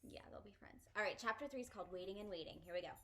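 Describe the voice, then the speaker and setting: A woman's voice: medium pitch, animated, rapid-fire, sharp tone. A woman reading a children's book aloud expressively and putting questions to young listeners.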